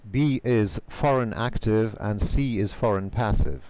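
A man whose first language is Polish answers interview questions carefully over a video call, pausing often with fillers. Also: on the low side, a little mellow, smooth, dry recording, poor audio. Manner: measured, careful, frequent hesitation fillers. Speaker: guest, conference interpreter.

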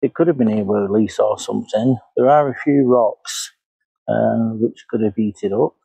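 Fifties investigator, musing and thinking aloud outdoors, warm low-key voice, close dry sound. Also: male voice, normal speed, vocal fry, slightly rough, dry recording, poor audio. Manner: musing, retracing steps aloud. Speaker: paranormal investigator. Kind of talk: casual walk-and-talk vlog. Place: woodland outdoors. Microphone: clip-on lapel mic.

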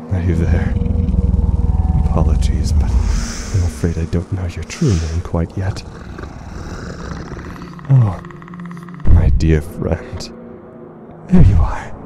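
A man playing an unhinged, wounded, blinded radio announcer in a dystopian horror audio drama, speaking theatrically and formally with halting phrasing. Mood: desperate, lonely. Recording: noisy rumbling ambient bed under the voice.

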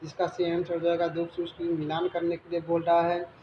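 High-pitched man teaching, roomy dull recording. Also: completely flat delivery, a little hiss or hum. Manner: halting read-aloud with stumbles and repetitions. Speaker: teacher explaining exam questions. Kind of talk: reading quiz questions and answers aloud as a lesson.

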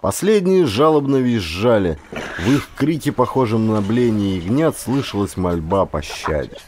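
A man narrating a historical adventure audiobook as a scripted, expressive dramatic storytelling. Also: lowish voice, moderate pace, a little hiss or hum. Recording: clear ambient sound effects behind voice. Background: ambience sound effects.

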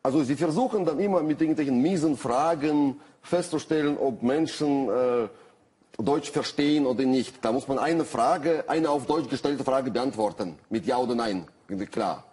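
Middle-aged man, Russian accent, husky, hesitant talk show guest with dry humor. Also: medium pitch, normal speed, darkish tone. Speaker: guest writer. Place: TV studio with audience.

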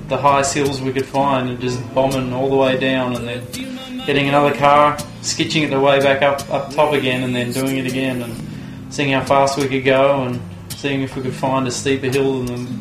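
Australian man, 20s, relaxed rambling interview talk, roomy sound with music under it. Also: medium pitch. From Tasmania, Australia. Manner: laid-back, musing, loose storytelling. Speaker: pro vert inline skater, interviewee. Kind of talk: sit-down profile interview. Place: indoor room with window blinds.

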